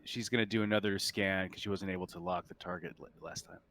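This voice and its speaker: A man's voice: low voice, steady tone, quick pace, fairly bright tone. A man running a tabletop roleplaying game, casually narrating and answering as game master.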